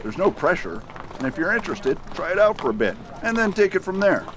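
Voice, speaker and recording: An actor playing a steady, determined male US marshal in a Western radio drama, commanding and earnest. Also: high voice, a little fast, background noise. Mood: warm. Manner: commanding in action, earnest and friendly at rest. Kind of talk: amateur radio drama acting.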